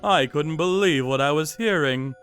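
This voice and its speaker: A man's voice: on the high side, moderate pace, a bit bright, clean tone, faint music behind, lightly acted. A man voicing a cold, vengeful vigilante who narrates his revenge in a manga dub, menacing and mocking. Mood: cold, contemptuous. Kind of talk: first-person manga dub narration with acted dialogue.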